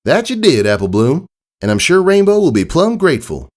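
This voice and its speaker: A man's voice: a little high, huge pitch swings, brisk, pitched above the performer's own voice. A man voicing a friendly farm mare, a big sister with folksy, plain-spoken country talk, in a storybook reading. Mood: cheerful, proud.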